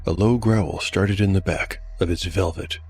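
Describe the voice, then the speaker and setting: A man's voice: low voice, steady tone, moderate pace, a little mellow, slight background noise. A man working as solo game master, narrating a scripted fantasy audio drama and commenting on the game.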